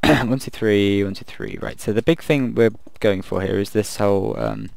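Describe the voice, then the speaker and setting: Croaky young man musing over a game. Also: lowish voice, normal speed, darkish tone, dry recording, studio quality. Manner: rambling, thinking aloud, self-deprecating. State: croaky voice late at night. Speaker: gaming video creator. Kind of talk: casual commentary over a building game.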